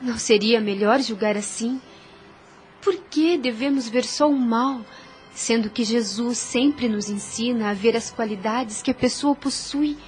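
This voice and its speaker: Gentle, devout young Brazilian woman, acted, highish voice. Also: a little slow, soft background music, lightly acted. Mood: gently reproving. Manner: earnest, soothing, moralising, formal diction. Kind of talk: radio soap opera acting.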